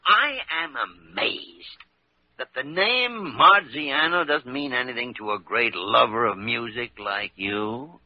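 An older actor playing a gruff, sly senior doctor who chuckles often, in 1940s radio acting. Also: male voice, medium pitch, lively intonation, slow, dry recording. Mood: wry. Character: gruff wise old senior doctor man. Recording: muffled old radio broadcast.

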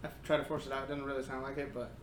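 A woman's voice: rumbling low, flat delivery, racing. Rambling woman in an echoey room. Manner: rambling, filler-heavy. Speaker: podcast co-host. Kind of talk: casual chat. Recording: echoey room with faint noise.

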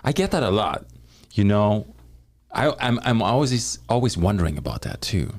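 A man's voice: on the low side, sing-song, normal speed, a little mellow. A man hosting a livestream chat, curious and asking his guest lots of questions. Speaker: livestream host. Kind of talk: casual interview chat.

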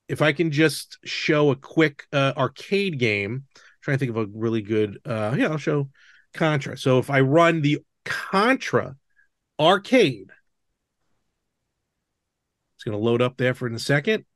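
A man's voice: mid-range voice, expressive, measured pace, pauses often, a bit bright, no echo, clean studio sound. A man hosting a podcast, chatting casually and enthusiastically about a hobby. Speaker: podcast host. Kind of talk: casual podcast chat about retro games.

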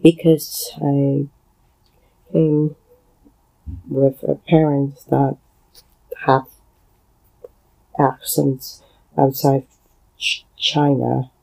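A man from Hong Kong with a Hong Kong accent and Cantonese as his first language, talking alone on a podcast in a halting way, with many fillers and pauses. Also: medium pitch, level tone, gravelly, darkish tone, low-quality recording. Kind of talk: solo spoken commentary.

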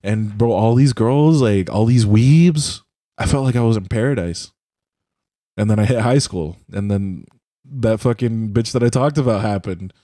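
Young man, dark mellow voice, profane rambling. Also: lowish voice, moderate pace, no room sound, clean studio sound. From Puerto Rico. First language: Spanish. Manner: rambling, profane, says "bro" and "like" often. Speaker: podcast host. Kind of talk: casual chat over a voice call. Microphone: headset or desk mic on a voice call.

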